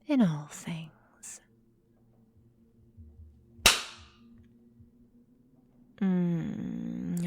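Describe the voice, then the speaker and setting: A woman voicing a menacing, possessive vampire mistress in a whispered ASMR roleplay, calm and deliberate, breathy, close to the microphone. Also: deep voice, exaggerated voice. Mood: cold, simmering anger. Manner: slow, breathy, deliberate, coolly domineering.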